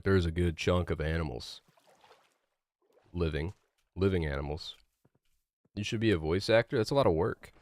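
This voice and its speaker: A young male streamer with a low, medium-deep voice talks loosely to his chat while he plays, wandering from thought to thought with plenty of "like" and restarts. The sound is dry and close, as if right into a desk mic. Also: no room sound. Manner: rambling, filler-heavy, laid-back. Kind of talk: casual livestream chat while gaming.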